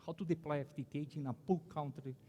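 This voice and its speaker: A man from Brazil, a native Portuguese speaker, giving a conference presentation in English with a Brazilian accent, halting with many fillers and restarts. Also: a little high, fairly even intonation, mellow, long reverb tail. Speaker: conference panelist. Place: conference hall.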